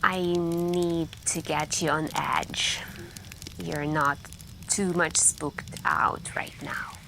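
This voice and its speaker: Slow, soft-spoken woman telling spooky stories. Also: sits low, gravelly. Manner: hushed and intimate, drifting into whispers, with pauses. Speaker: ASMR roleplay performer playing a friend telling campfire stories. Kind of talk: soft-spoken ASMR scary storytelling. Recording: steady background ambience noise. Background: crackling campfire and night ambience.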